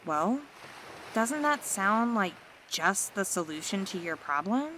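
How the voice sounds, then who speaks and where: A woman voicing a seductive, scheming mermaid in an ASMR roleplay, talking in a teasing, flattering, coaxing way. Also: medium pitch, slow, a little hiss or hum.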